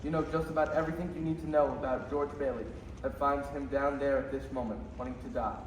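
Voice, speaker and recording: A man's voice: mid-range voice, fairly even intonation, fast talker, a little hiss or hum, close to an everyday voice. Man playing a solemn narrator, echoey stage. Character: solemn heavenly narrator instructing an angel. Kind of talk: dramatic storytelling in stage radio play. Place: high school theater stage. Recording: echoey distant stage, faint music.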